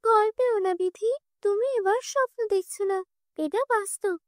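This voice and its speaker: A woman doing a gentle, kind fairy. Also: very high-pitched, normal speed, no echo, studio quality, pitched above the performer's own voice. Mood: reassuring. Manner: gentle, reassuring.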